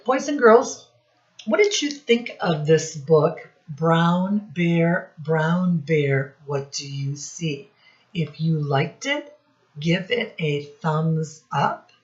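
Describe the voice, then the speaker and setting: A woman's voice: low-pitched, lively intonation, extremely slow, highs cut off. A woman leading a storytime lesson for young children, encouraging them and asking them questions directly. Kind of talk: read-aloud lesson quizzing young children.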